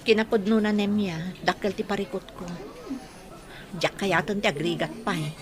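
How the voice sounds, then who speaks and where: A woman's voice: medium pitch, normal speed, natural voice. An actress playing a worried but determined wife and mother in an Ilocano radio drama, with an Ilocano accent. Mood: worried, insistent.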